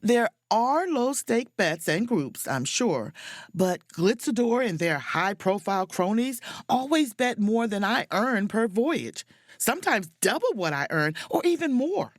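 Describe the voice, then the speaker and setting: A woman playing a wry, self-possessed spaceship officer, narrating her own adventure in an expressive, sardonic audio drama style. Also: a little high, a little slow, dry recording. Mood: curious, scheming. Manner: dry, sardonic, expressive storytelling.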